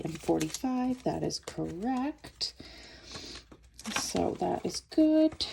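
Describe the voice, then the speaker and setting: A woman's voice: on the low side, vocal fry, warm tone, a little hiss or hum. A woman with a stuffed-up nose chatting casually as a budgeting vlogger, counting cash aloud as she goes. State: stuffed up from a cold.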